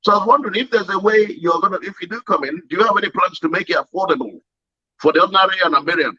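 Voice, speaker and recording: A man asking interview questions in a quick, chatty way. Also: sits high, bright, forceful tone, husky, highs cut off.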